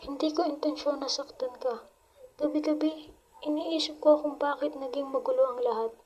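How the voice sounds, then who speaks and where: A woman's voice: high-pitched, steady tone, moderate pace, darkish tone, lo-fi sound, lightly acted. A woman with a Filipino accent playing a loving, remorseful mother reading out a confessional letter in a radio drama. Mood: sorrowful, tender.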